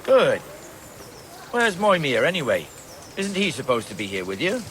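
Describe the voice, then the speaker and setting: A man's voice: on the high side, wildly swooping pitch, moderate pace, fairly bright tone, a little hiss or hum. A man voicing a blunt, bossy town watchman in a medieval video game, with a chatty, hectoring manner. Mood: wry, pressing. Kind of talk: dramatic game cutscene dialogue.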